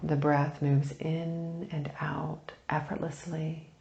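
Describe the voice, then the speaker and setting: A woman leading a guided meditation, speaking softly and soothingly in unhurried phrases with long pauses, turning breathy at phrase ends. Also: low voice, little pitch movement, echoey room, dull audio. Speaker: meditation teacher.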